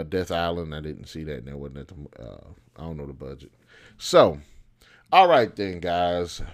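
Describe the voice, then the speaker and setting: A man giving casual, unscripted opinion commentary as a video host, talking colloquially and thinking aloud as he goes. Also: low-pitched.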